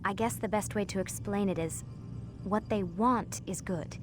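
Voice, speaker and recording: An actress playing a guarded, earnest young woman who explains things in a hushed, confiding way. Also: medium pitch, normal speed, over music, lightly acted. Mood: earnest, explaining. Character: guarded, earnest young woman working inside a secretive company.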